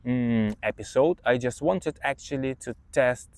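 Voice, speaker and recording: A man's voice: mid-range voice, a little slow, darkish tone, no room sound. A man with a Russian accent, a native Russian speaker, hosting a podcast and chatting through study tips in an emphatic, conversational way. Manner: chatty, emphatic, checks in with "yeah?". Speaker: podcast host, English teacher. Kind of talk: casual advice monologue.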